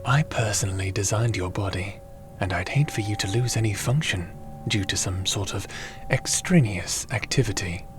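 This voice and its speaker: A man playing a gentle, formal, curious fantasy companion in an ASMR audio roleplay, with a calm, measured and politely wry manner. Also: fairly low pitch, music in the background, lightly acted.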